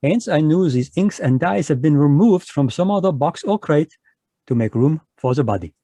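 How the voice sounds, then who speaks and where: A man voicing a fussy, precise Belgian detective, speaking in a measured, lecturing way in a live online radio drama. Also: a little high, lively intonation, warm tone, no echo. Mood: explaining.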